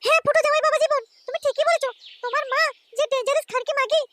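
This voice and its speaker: Meddling middle-aged cartoon mother-in-law, squeaky pitched-up voice, coaxing, fussy, very fast, loud noisy background. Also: fairly even intonation. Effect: pitched-up chipmunk-like voice. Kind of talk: comic cartoon dialogue. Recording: loud noise, clicks, chirping birds.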